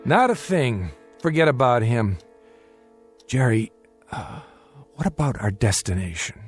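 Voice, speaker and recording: An actor in a radio drama playing a calm, careful married father who is secretly plotting, with a guarded manner. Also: medium pitch, unhurried, soft background music. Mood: guarded, secretive.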